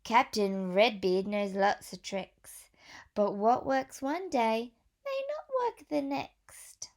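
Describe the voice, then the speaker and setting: A woman teacher reading a picture book aloud to young children, pausing for questions and gentle whispered asides. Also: fairly high pitch, animated.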